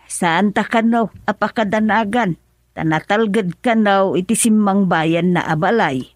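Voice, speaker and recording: A woman's voice: medium pitch, measured pace, dry recording, studio quality, natural voice. A woman with an Ilocano accent playing a worried middle-aged mother in a radio drama, pleading and anxious. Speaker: mother character.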